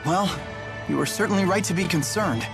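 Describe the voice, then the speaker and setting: A woman's voice: fairly low pitch, fairly quick, creaky. A woman voicing a kind, earnest, hopeful teenage boy in a video game. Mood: concerned. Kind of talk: English video game voice acting. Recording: background music under the voice.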